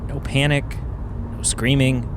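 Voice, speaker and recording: A man's voice: mid-range voice, level tone, a little slow, noisy background, lightly acted. A man playing a sarcastic, wisecracking passenger who meets every problem with dry quips. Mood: wry. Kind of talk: podcast radio drama acting.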